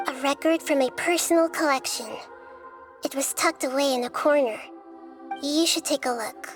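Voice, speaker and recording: A high, girlish voice for a wise young sage in a video game, performed by a woman. She speaks gently and with composure, in measured, articulate, scholarly phrases, over soft background music. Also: lightly acted. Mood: calm, focused. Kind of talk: scripted video game dialogue.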